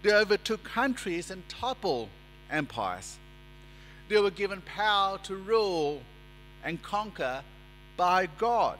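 A man in his forties preaching a sermon at a lectern in a church, speaking in a measured, emphatic way and gesturing as he talks. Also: high voice, big pitch swings, fairly bright tone. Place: church sanctuary, indoors. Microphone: lectern microphone. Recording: reverberant church hall with mains hum.

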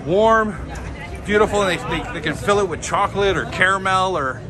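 A man's voice: sits high, moderate pace. A man giving a casual, upbeat walking tour on a busy city street, talking with many fillers. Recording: street crowd and music behind. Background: street crowd and music.

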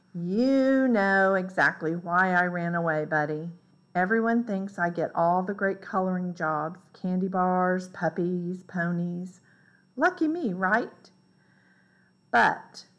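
A woman reading a children's picture book aloud in a deliberate, expressive way. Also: lowish voice. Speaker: read-aloud storyteller.

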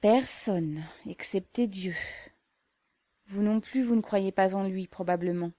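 A woman's voice: medium pitch, a little slow, mellow, no echo, close to an everyday voice. A woman reading aloud, voicing a devout older mother who speaks earnestly and a little reproachfully.

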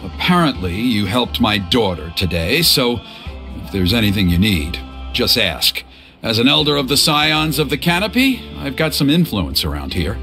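A man's voice: medium pitch, big pitch swings, normal speed, pushed and bright, soft background music. A man voicing a stern, protective middle-aged father and tribe elder in video game dialogue. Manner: gruff, then flustered and apologetic.

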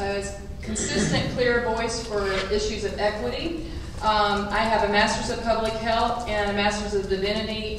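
A woman in her forties giving an earnest, brisk introduction as a political candidate. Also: medium pitch. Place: large library meeting room, indoors. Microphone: table mic, recorded from a distant camera. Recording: echoey hall, distant mic, room noise.

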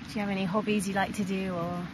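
A woman's voice: mid-range voice, level tone, fast talker, noisy background. A woman interviewing a guest outdoors, encouraging with frequent agreement sounds. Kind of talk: casual interview questions.

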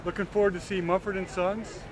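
A man at a music festival giving his opinion haltingly, with lots of "you know" fillers. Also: high voice, flat delivery, low-quality recording. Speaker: festival-goer. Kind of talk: casual interview. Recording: crowd noise.

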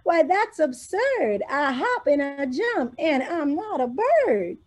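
A woman's voice: sits high, very animated, takes it slowly, a little mellow, pitched above the performer's own voice, exaggerated voice. A woman voicing a friendly, folksy male rabbit in a bouncy, cheerful way.

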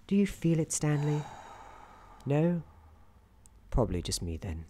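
A man playing a soothing, wry narrator in an office worker's head, soft-spoken, with measured theatrical delivery for an ASMR storytelling roleplay. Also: on the high side, sing-song, husky, exaggerated voice. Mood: soothing, teasing.

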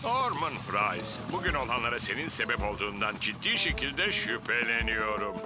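A man's voice: mid-range voice, very animated, moderate pace, loud music behind the voice, lightly acted. Blustery older fire chief, acted by a man. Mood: stern. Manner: blustery, officious. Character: older fire station chief, pompous and easily flustered. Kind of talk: dubbed children's cartoon acting.

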